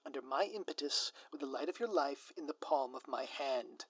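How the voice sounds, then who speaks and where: A man playing a scheming, theatrical supervillain in a scripted ASMR audio roleplay, with a pompous, florid, drawn-out delivery. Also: medium pitch, expressive, a bit bright, breathy edge, no echo. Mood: smug, menacing. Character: theatrical scheming male supervillain, gloating, menacing, easily flustered.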